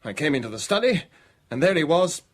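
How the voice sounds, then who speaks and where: A man's voice: fairly high pitch, big pitch swings, a little fast, slightly rough. A British man playing an anxious, earnest lover of a murdered man's wife in a radio drama. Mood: agitated.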